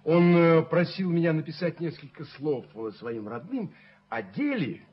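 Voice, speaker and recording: An actor playing a fussy, pompous gentleman in a radio play, affected and effusive, repeating his words. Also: a little high, lively intonation, measured pace, breathy edge. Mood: obsequious, effusive. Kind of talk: Soviet radio play acting. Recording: dull, hissy 1970s radio recording.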